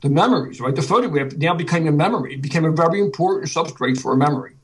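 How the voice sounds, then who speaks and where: A man with a husky voice talking casually and reflectively in conversation. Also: a little high, animated, rapid-fire, poor audio.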